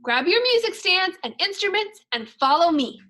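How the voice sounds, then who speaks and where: A woman's voice: very high voice, big pitch swings, moderate pace, bright, forceful tone, pitched above the performer's own voice, full character voice. A woman voicing a tiny, determined young schoolgirl in a storybook, eager and plucky.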